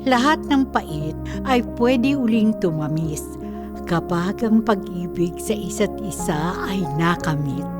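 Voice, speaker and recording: Slow, reflective woman narrator. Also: lowish voice, expressive, loud music behind the voice. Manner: reflective, moralizing. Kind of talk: scripted narration.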